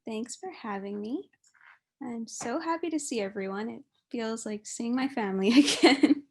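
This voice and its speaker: A woman's voice: a little high, moderate pace, a little hiss or hum. A young adult woman from Hawaii, giving an informal, chatty and giggly speech as a guest speaker. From Kauai, Hawaii.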